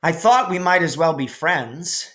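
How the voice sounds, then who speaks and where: A man's voice: fairly high pitch, expressive, unhurried, a bit bright, voice pushed up. A man voicing a self-assured, friendly young tomboy girl in an audiobook, forthright and casual. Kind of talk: audiobook character voice.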